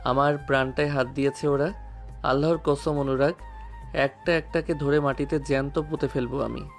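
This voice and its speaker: A man's voice: mid-range voice, fairly even intonation, moderate pace, soft background music, close to an everyday voice. Man acting a furious, menacing young man, heavy growl. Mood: menacing vow. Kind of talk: dramatic audio story acting.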